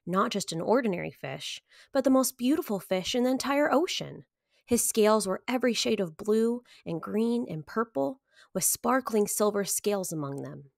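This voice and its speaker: A woman in her thirties reading to children as a story time host, with expressive, clearly phrased delivery. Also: medium pitch, moderate pace, dry recording, clean studio sound. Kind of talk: children's picture book read-aloud.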